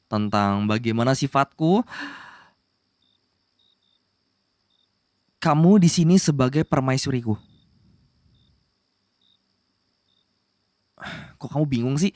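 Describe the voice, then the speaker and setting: Guy doing a bossy young demon prince for asmr roleplay, secretly soft, gets flustered, mid pitch, very dry recording. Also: no echo, close to an everyday voice. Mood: calm, scheming. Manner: stammering, flustered denials, possessive commands. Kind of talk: ASMR romantic roleplay acting.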